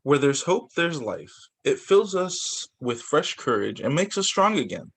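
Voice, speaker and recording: A man's voice: on the high side, big pitch swings, a little slow, vocal fry, fairly bright tone, husky. A man reading a children's book aloud as a teacher, occasionally stumbling, repeating phrases and adding casual asides. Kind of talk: children's story time read-aloud.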